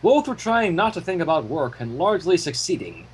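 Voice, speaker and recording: A man narrating fan fiction as an expressive storyteller. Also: fairly high pitch, brisk, noisy background.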